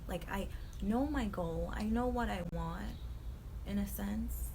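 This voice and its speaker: Raspy-voiced young woman musing aloud. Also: medium pitch, slow, dark tone, a little hiss or hum. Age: 20s. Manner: rambling, thoughtful, drifts into whispery asides and laughs. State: raspy voice today. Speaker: vlogger. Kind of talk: reflective casual talk to camera.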